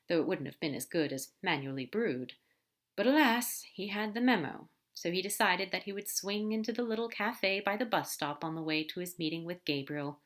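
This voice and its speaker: A woman reading a fan fiction story aloud, narrating with expressive emphasis and soft whispered asides. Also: on the low side. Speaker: podfic reader.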